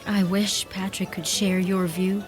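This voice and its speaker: An actress playing a warm, curious young woman in a radio play. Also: mid-range voice, level tone, measured pace, over music, lightly acted. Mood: thoughtful. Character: warm, curious young American woman new to rural Ireland.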